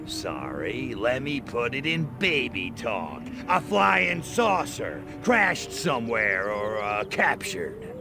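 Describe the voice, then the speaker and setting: A man playing a small, cocky, sadistic alien invader in a video game, with a gravelly, sardonic, menacing drawl. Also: medium pitch, very animated, slow, few pauses, heavily acted. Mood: mocking menace. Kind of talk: video game cutscene acting. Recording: music behind the voice.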